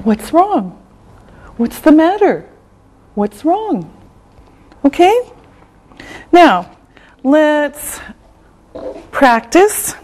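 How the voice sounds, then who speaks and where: A woman teaching English, who says each phrase slowly and clearly, repeats it, and checks in with "okay?". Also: high-pitched, very animated, a little mellow. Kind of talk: slow, deliberate classroom teaching.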